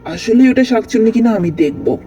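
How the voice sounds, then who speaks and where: Man voicing a high-pitched bold young boy. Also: animated, moderate pace, warm tone, faint music behind. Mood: confident. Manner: defiant, matter-of-fact. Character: bold, curious young boy who defies a ghost. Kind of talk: children's cartoon acting.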